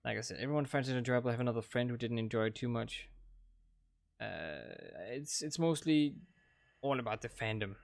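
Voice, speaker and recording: A young man speaks English with a Danish accent, his voice gravelly with a touch of fry. He rambles casually and hesitantly, full of ums and restarts. The sound is close and dry, with no room around it. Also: medium pitch, a little fast, no room sound. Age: young adult. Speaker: gaming channel host. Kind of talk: casual commentary wrapping up a playthrough. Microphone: desk microphone.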